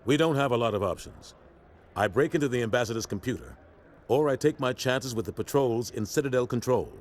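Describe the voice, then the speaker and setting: Casting: man as steadfast older captain and mentor in a sci-fi game, mid-pitched, urgent, commanding. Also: a little fast, natural voice. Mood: resolute. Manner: earnest, urgent, authoritative. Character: resolute older male captain, loyal military mentor. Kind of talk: acted game cutscene dialogue.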